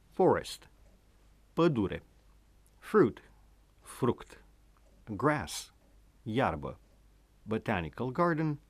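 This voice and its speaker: Male radio host with a Romanian accent, slow, deliberate teaching delivery, fairly low voice. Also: no room sound. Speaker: language show host. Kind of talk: scripted radio lesson.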